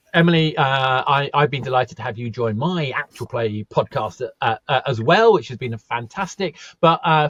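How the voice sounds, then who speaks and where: Rambling podcast host, dry dull sound. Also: male voice, mid-range voice, dry recording. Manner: rambling, hesitant, many fillers. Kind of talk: casual podcast interview.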